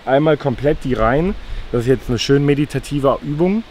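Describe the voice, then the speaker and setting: A man's voice: mid-range voice, brisk, a little mellow, slight background noise. A man from western Germany casually explaining things as an interviewee on a farm.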